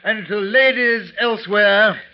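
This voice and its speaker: An actor playing a drunken, amorous gentleman gambler in a 1940s radio drama, lingering theatrically over his words. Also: sits high, a little slow. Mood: merry. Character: tipsy, amorous, toast-making gentleman gambler. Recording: muffled 1940s radio recording.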